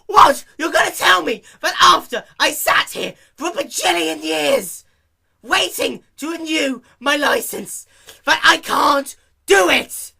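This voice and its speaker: A man voicing an exasperated, hot-tempered young female cartoon pegasus, whiny and dramatic, with shouting. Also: extremely high pitch, animated, slow pace, gravelly, voice pushed up, exaggerated voice. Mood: furious, whining.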